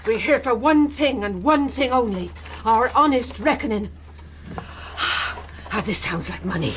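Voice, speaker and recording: High-pitched anxious mother. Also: animated, unhurried, fairly bright tone. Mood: stern, urgent. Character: anxious, honest innkeeper mother, stern and fearful. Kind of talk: radio drama acting. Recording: noisy radio drama with clicks.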